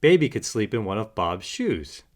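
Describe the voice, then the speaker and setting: A man voicing an eager, helpful young male puppy in a storybook, with an earnest, excitable manner. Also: on the low side, moderate pace.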